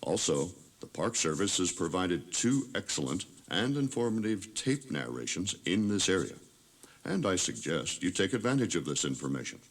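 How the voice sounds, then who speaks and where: Very deep-voiced male tour guide. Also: big pitch swings, normal speed. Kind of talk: scripted audio tour narration.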